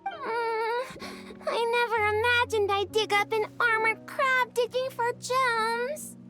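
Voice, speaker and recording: Bubbly little girl creature from a video game, super high voice, takes her time, excitable and sweet. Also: slow. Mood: shaken. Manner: bubbly, childlike, earnest. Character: small cheerful female Melusine creature, excitable gem hunter. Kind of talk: English video game character acting. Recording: game music playing behind the voice.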